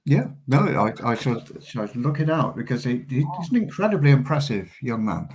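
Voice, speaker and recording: A man's voice: medium pitch, sing-song, moderate pace, darkish tone, low-quality recording. A man being interviewed as a guest, a celebrant speaking earnestly and hesitantly, with many ums.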